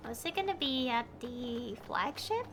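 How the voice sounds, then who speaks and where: A woman's voice: fairly high pitch, expressive, unhurried, noisy background. A woman streaming a video game, reading dialogue aloud and chatting casually to viewers.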